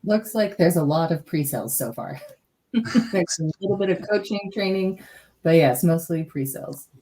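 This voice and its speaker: A woman's voice: on the low side, animated, moderate pace, warm tone, rough recording. A woman moderating an online event, casually reading out audience chat replies in an upbeat way.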